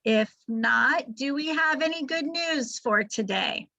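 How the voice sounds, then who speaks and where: Bright high-voiced woman host. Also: lively intonation, slow pace, creaky, lo-fi sound. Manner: upbeat, encouraging. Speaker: meeting host. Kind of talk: running a club meeting.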